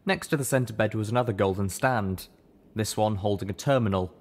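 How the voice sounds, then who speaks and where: A man reading first-person fiction as an audiobook narrator, with measured, dramatic storytelling. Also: on the low side.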